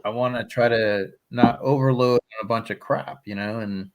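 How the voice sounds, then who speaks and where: A man of about fifty talks in a middle-pitched voice during a casual group chat. He rambles loosely and leans on "like" and "you know". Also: fairly even intonation, moderate pace. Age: 50s.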